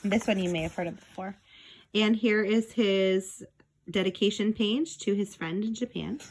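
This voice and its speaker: A woman with a mid-pitched voice reads aloud as a storyteller for children. She moves between book narration and simple explanatory asides to her young listeners. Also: moderate pace, slight background noise, poor audio.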